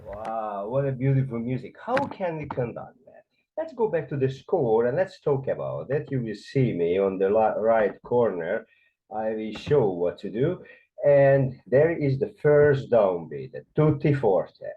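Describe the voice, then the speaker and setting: A man with a Hungarian accent teaches conducting in an animated, explanatory way, counting beats and voicing the rhythms. His pitch swings widely as he talks. The recording sounds somewhat dull and lo-fi. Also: a little slow, slight background noise. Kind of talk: explanatory music lesson.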